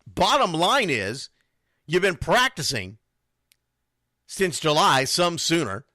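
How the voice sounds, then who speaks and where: Male sports talk host, blunt and emphatic, slightly high-pitched with a sharp, forceful edge. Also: wildly swooping pitch, slow, dry recording, studio quality. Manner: blunt, emphatic, repeats phrases for stress. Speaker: youth football radio show host. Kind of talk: informal sports talk monologue.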